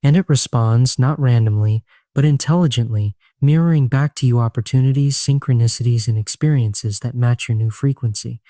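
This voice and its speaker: A man narrating a scripted guided sleep meditation in a solemn, measured, earnest way. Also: mid-range voice, mellow, no echo, studio quality.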